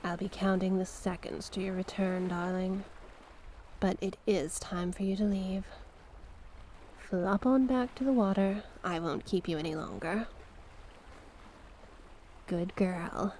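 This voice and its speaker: A woman voicing a seductive, manipulative sea witch in an ASMR roleplay, doting yet sinister, with a hushed, breathy, coaxing delivery. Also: medium pitch, fairly even intonation, lightly acted. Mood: doting, teasing. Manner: slow, coaxing, affectionate endearments. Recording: noticeable background noise and ambience.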